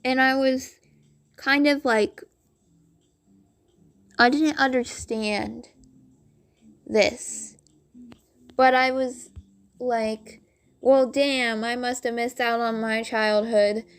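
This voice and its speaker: Slow, raspy-voiced young woman vlogger. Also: a little high, steady tone. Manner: rambling, heavy on "like" fillers. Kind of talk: storytime narration over game footage.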